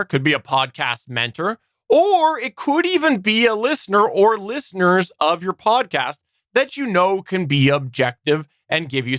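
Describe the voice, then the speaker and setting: Bright, high-voiced, animated male podcaster. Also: no echo, studio quality. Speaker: podcast co-host. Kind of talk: scripted advice podcast narration.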